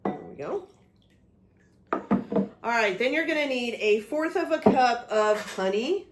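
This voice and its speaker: A woman's voice: fairly high pitch, animated, slow, background noise. A woman whose voice still carries a cold, walking viewers through a recipe in a chatty, easygoing way. She keeps checking in with her audience as she measures and mixes. The sound bounces around an echoey home kitchen. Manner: chatty, talks through each step, frequent "okay?" check-ins. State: recovering from a cold, voice a bit off. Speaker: cooking vlog host. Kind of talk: casual cooking demonstration.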